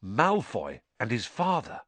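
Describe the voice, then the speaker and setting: A man voicing a young schoolboy in a storybook reading, earnest, anxious and a little halting. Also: mid-range voice, huge pitch swings, a bit bright, no room sound, exaggerated voice. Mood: urgent.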